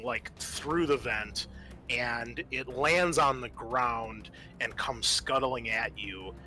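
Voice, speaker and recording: A man's voice: mid-range voice, bright, forceful tone, a little hiss or hum. A man acting as game master, explaining and narrating a horror roleplaying game in a casual, sweary way.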